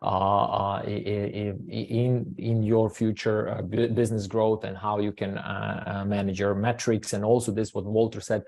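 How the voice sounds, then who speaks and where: Man from Croatia, webinar host, low pitch, dark mellow tone, halting with fillers. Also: flat delivery, creaky. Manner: halting, many fillers and restarts. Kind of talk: hosting a business webinar.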